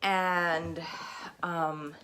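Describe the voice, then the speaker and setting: A woman's voice: fairly low pitch, level tone, very slow, sharp tone, rough recording. A woman in her thirties hosting a casual knitting podcast from home, stuffed up with a cold and chatting in a rambling way with plenty of ums. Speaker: podcast host. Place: at home, seated in a spinning chair in front of a camera. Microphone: camera mic.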